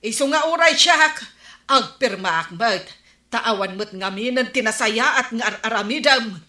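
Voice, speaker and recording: A woman's voice: on the high side, lively intonation, moderate pace, sharp tone, reverberant. A woman with an Ilocano accent playing a scolding, exasperated village woman in a comedy radio drama. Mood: reproachful. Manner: nagging, reproachful.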